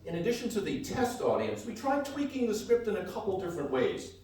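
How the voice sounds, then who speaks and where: A man's fairly high, animated voice rings out from well away in a very echoey hall. He delivers scripted comedy banter like a mock-grand showman announcing a live stage show. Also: a little fast. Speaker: comedy radio show performer and co-host. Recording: very echoey hall, distant mic, faint noise.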